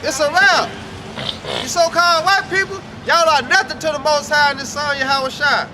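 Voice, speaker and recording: A man's voice: very high voice, fairly even intonation, moderate pace. A man street preaching outdoors in an emphatic, call-and-response manner. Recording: outdoor street recording with traffic and crowd.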